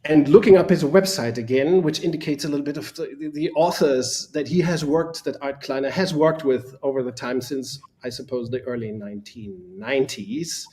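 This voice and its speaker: A man's voice: on the high side, lively intonation, moderate pace, few pauses, mellow. A man with a German accent, a native German speaker, co-hosting a casual live discussion in an enthusiastic, rambling way that often repeats words. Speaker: co-host.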